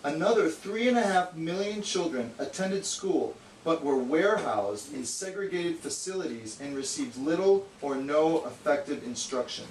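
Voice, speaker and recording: Man acting a highish, echoey know-it-all puppet. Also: lively intonation, moderate pace, hardly any pauses, lightly acted. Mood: earnest, didactic. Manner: didactic, slightly condescending. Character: bookish, exasperated know-it-all male puppet. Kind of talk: amateur educational puppet show, scripted explaining. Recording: echoey room, distant mic, hissy noise.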